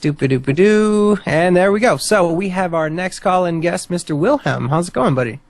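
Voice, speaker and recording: A man's voice: sits high, expressive, normal speed. A man hosting a podcast in an upbeat, playful and easygoing way. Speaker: podcast host.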